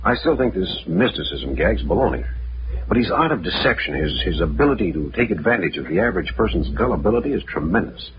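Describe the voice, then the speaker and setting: An actor playing a level-headed male detective leader in 1940s radio style, explaining things deliberately and with authority. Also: low voice, sing-song. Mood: grave, reasoning. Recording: noisy, muffled old-time radio recording.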